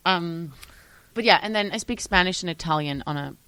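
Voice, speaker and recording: A young woman from Switzerland talking as a musician guest in a casual interview, animated, often trailing off and restarting her sentences. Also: fairly low pitch, moderate pace, clear, resonant tone, no echo. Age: young adult.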